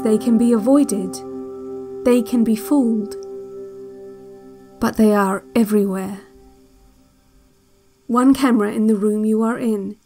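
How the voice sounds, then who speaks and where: High-voiced, hypnotic relaxation tape instructor. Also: female voice. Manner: measured, deliberate, hypnotic, clinical. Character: calm, controlling female relaxation cassette instructor at an institute. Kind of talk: slow guided-meditation narration in audio drama. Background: new-age music.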